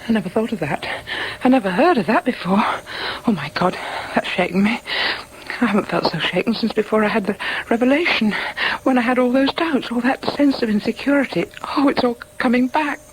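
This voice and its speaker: A woman's voice: on the high side, brisk, slightly rough, poor audio. A woman playing an anguished middle-aged mother in a British radio drama, hesitant and agitated, thinking aloud as doubts crowd in. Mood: shaken, anguished. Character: anguished, self-doubting mother clinging to her religious certainty. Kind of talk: British radio drama acting with inner monologue.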